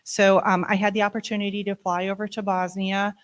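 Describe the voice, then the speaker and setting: A middle-aged woman with a loud voice gives a casual talk about her dog at a library event, speaking into a handheld microphone and often filling pauses with "um". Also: medium pitch, little pitch movement. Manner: chatty, many "um" fillers. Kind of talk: informal presentation to an audience.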